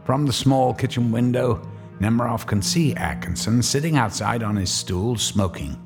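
A man narrating a radio drama in a measured, wry storytelling manner. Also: medium pitch, music in the background.

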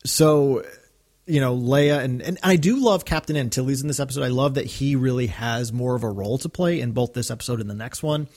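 A man co-hosting a casual discussion podcast, talking in a halting, enthusiastic fan's way. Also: mid-range voice, darkish tone. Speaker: podcast co-host.

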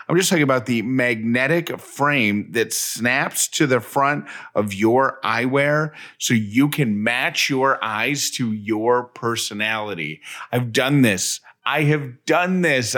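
A man's voice: mid-range voice, fairly bright tone. A middle-aged man co-hosting a radio show, moving between casual banter and host-read material.

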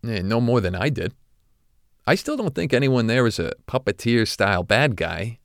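An actor playing an older, guilt-ridden retired corporate executive who confides haltingly, as in an audio drama interview. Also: male voice, mid-range voice, very animated, creaky, no echo, studio quality. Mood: weary, resigned. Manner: hesitant, confessional, halting.

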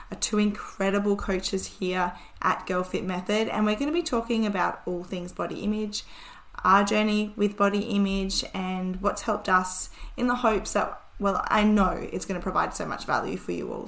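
A woman hosts a casual podcast chat, with a voice that is still hoarse after she nearly lost it. She sounds upbeat and enthusiastic, easing into the talk with casual fillers, at a medium pitch. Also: fairly even intonation, moderate pace, fairly bright tone, roomy sound. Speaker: podcast host.

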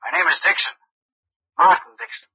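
A sly American blackmailer, threatening over the telephone. His voice is raspy and fairly high for a man, in 1940s radio style. The sound is muffled. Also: moderate pace. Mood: menacing. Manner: insinuating. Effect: telephone filter. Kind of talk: 1940s radio drama acting.

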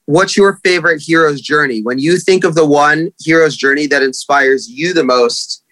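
A man's voice: fairly high pitch, moderate pace, fairly bright tone, no room sound. A man hosting an interview podcast, asking questions with sympathy and curiosity. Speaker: podcast host.

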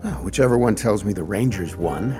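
A man's voice: on the low side, expressive, brisk, dark tone, faint music behind, natural voice. An actor playing a smitten, doting man in an audio drama. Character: smitten, doting man talking to his companion.

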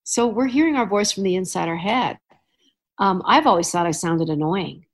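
A middle-aged woman from Canada hosting a relaxed podcast chat. She spins anecdotes with animated asides and easy laughter, at a medium pitch. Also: moderate pace. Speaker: podcast host. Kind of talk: casual chat.

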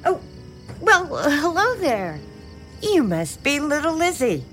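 An actress playing a chatty, folksy great-aunt who is pushy and slyly over-friendly in an audio drama. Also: female voice, sits high, wildly swooping pitch, takes it slowly, a bit bright, faint music behind, full character voice. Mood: folksy, coaxing. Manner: chatty, insistent, theatrical.

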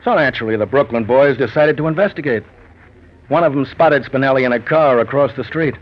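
An actor playing a world-weary police detective, dry and clipped, in 1940s radio noir style. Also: male voice, medium pitch, a little fast, muffled recording, natural voice. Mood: dry, knowing. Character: world-weary, sardonic male Chicago police detective sergeant. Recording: band-limited 1940s radio with music.

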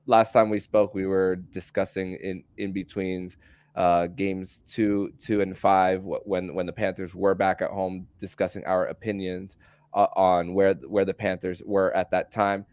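Low-voiced man hosting, halting delivery. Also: little pitch movement, darkish tone, no room sound. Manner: halting, repeats words and restarts phrases. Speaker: podcast host interviewing a guest. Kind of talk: casual sports podcast conversation.

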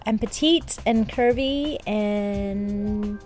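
A woman's voice: medium pitch, drawn-out words, darkish tone, soft background music. A woman from the Philippines, a contestant, giving brief, hesitant answers.

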